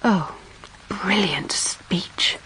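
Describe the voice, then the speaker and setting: An actress playing a shy young governess, hushed and breathy, speaking her private thoughts in an audio drama. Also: mid-range voice, extremely slow, whispered, low-quality recording. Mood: yearning, self-reproaching. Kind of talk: intimate inner-monologue narration in audio drama.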